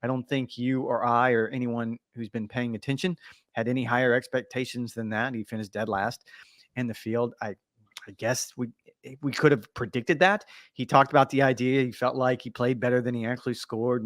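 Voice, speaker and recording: A man co-hosting a golf podcast, teasing his partner and talking through his points with animated banter. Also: medium pitch, moderate pace, no room sound. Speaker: podcast co-host. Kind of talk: casual sports podcast chat.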